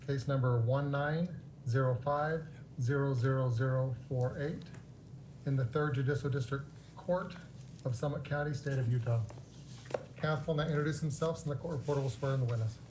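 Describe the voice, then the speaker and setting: A man's voice: mid-range voice, normal speed, darkish tone. A man reading a formal on-record announcement as a legal videographer. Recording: noisy video call.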